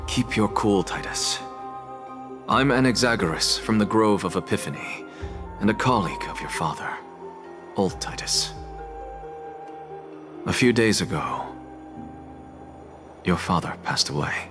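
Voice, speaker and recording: Man playing a very deep, solemn scholar. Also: lightly acted. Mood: calm, firm. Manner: solemn, measured. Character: grave, composed scholar and guardian, adult man. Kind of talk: dramatic game voice acting. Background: clear background music.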